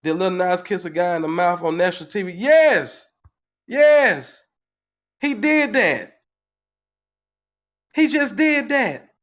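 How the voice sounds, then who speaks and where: High-voiced man in his forties ranting. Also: very animated, slow pace, pauses often, fairly bright tone, highs cut off. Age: 40s. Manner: heated, repetitive, rhetorical questions. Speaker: channel host. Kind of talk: livestream commentary, reading the chat.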